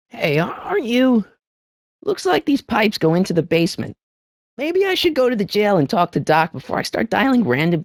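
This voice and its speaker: Video game teen hero: earnest, anxious American boy, widely swinging pitch, dry close sound. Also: no room sound. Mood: tentative, musing. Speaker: voice actor. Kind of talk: video game character voice acting.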